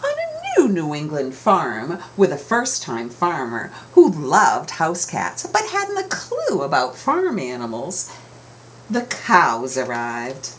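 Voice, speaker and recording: Very animated middle-aged woman reading aloud. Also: deep voice. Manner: theatrical, drawn-out emphasis, addresses children. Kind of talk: animated picture-book read-aloud. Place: small home room, indoors. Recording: clear background room noise.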